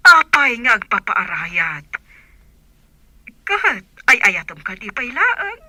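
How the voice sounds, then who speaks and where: A woman's voice: fairly high pitch, sing-song, takes it slowly, bright, forceful tone, no room sound, exaggerated voice. A woman playing a concerned, probing godmother in an Ilocano radio drama.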